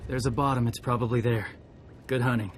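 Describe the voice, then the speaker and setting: A man's voice: medium pitch, steady tone, fairly quick, a little hiss or hum, close to an everyday voice. An actor playing an earnest, respectful young warrior in a video game, terse and sincere in the way he talks. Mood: earnest, intent. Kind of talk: video game cutscene acting.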